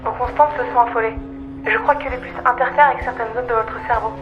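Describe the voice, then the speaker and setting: A woman plays a female machine assistant in an audio drama, quick and precise. She sounds formal and obedient, through a dull radio-like filter with music behind. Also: on the high side, steady tone. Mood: formal, concerned.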